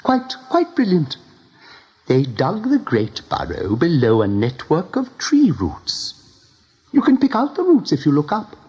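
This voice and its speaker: Effusive, theatrical gentleman rabbit, swooping voice. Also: on the high side, huge pitch swings, a little slow. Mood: gushing, proud. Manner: gushing, fussy, affected politeness. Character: effusive, pompous, genteel male rabbit hosting guests. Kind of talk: radio drama acting. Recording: dull, band-limited 1980s radio recording.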